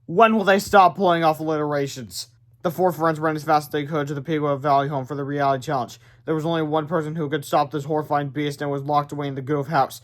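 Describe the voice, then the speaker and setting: A man's voice: on the high side, steady tone, fast. A man narrating a children's storybook aloud in a theatrical, informal way.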